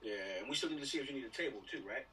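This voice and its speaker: A man's voice: mid-range voice, racing, sharp tone. An American man chatting casually as a film crew member on an online video meeting. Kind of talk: casual chat. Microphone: video call. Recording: noisy, distant video call audio.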